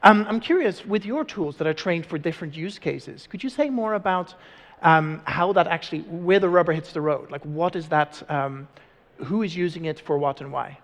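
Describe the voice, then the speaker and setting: A native Dutch speaker in his thirties moderating a conference panel through a lapel mic. His voice sits fairly high for a man and trails off into a long hall reverb. He asks questions in a curious, conversational way. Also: normal speed. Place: large conference stage.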